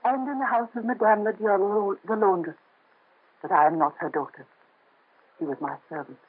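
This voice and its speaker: Old radio play: a dignified young noblewoman, breathy and soft, lo-fi vintage recording. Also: medium pitch, normal speed. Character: young noblewoman in hiding, proud and gentle. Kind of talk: 1930s radio drama acting. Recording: muffled lo-fi old radio transfer.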